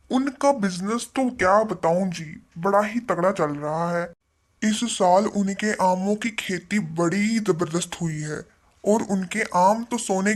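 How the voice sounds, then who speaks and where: Man voicing a polite male cartoon bird. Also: sits high. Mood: chatty, impressed. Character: polite male bird neighbour, chatty and deferential. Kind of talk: Hindi cartoon story dialogue.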